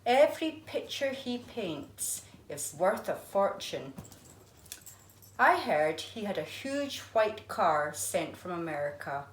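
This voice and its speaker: A woman voicing an excited, gossipy young friend in a storybook, exclaiming in a lifted voice. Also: medium pitch, slow pace. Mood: awed. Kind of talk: storybook character voice. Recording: echoey room.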